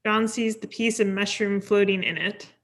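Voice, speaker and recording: A woman's voice: mid-range voice, fairly even intonation, normal speed, a bit bright. A woman reading scripted screenplay stage directions at a table read.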